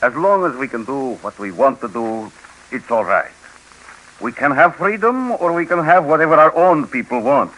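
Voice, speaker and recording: An actor playing a fervent, combative exile in a 1950s radio drama, speaking with emphasis. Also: male voice, medium pitch, normal speed, a little hiss or hum, lightly acted, poor audio. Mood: reflective. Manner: emphatic, animated. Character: fervent, combative male anti-communist exile.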